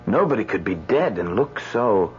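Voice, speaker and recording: Jittery young doctor, very animated. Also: male voice, on the low side, moderate pace, a little hiss or hum. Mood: puzzled, unsettled. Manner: jittery, hesitant, repeats himself. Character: flustered young male doctor, new medical examiner. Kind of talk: radio drama acting. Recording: muffled radio recording, faint music.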